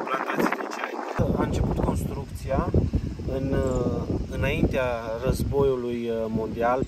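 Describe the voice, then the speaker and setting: A man's voice: medium pitch, very noisy. Man guiding outdoors, distant mic. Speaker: travel vlogger. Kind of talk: informal tour-guide explanation to camera. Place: outdoors near a jungle railway bridge.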